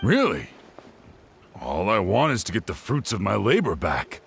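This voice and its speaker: An actor playing an earnest, distraught old scholar in a video game, speaking in a plaintive, formal way. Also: male voice, fairly low pitch, wildly swooping pitch, normal speed, creaky, a little hiss or hum, heavily acted. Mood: aggrieved. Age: older. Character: distraught, earnest old male scholar robbed of his work.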